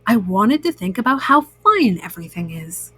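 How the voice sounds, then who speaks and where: Woman as a contented, gentle storybook frog. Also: medium pitch, very animated, normal speed, slightly rough, no room sound, voice pushed down. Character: contented, kindly male frog, thoughtful friend.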